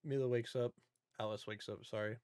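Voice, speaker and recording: A man's voice: mid-range voice, fairly even intonation, fairly quick, a little mellow, clean tone, no room sound, clean studio sound. A man hosting a podcast, talking casually about films in an informal, joking recap style. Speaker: podcast host. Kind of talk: casual movie discussion.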